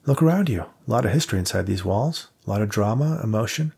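A man voicing a confident young woman ghost hunter, brisk and matter-of-fact with a dry wit. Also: fairly low pitch, animated, creaky, darkish tone, breathy edge, exaggerated voice.

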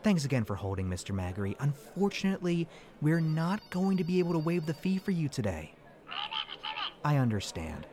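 A man's voice: a little high, sing-song, brisk, background noise. A man playing a cocky, argumentative call-center worker in an audio comedy. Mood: polite, patronizing. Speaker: call center customer service agent. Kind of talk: audio fiction comedy acting.

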